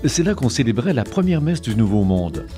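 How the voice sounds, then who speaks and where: A man's voice: mid-range voice, big pitch swings, normal speed, dark tone. A man reading scripted travel-show narration and voice-over translation. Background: background music.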